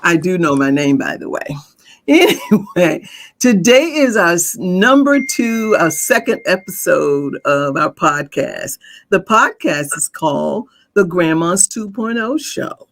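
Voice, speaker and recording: Effusive podcast host, a woman, lively pitch, quick to laugh. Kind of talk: casual chat.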